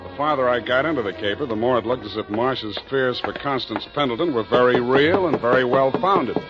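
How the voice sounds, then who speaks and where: Guy doing wry noir detective, deadpan old radio show voice, muffled recording w music behind. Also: medium pitch, a little fast, natural voice. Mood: wry narration. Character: wry, hard-boiled male private detective dictating his report. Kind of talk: old-time radio drama, noir narration.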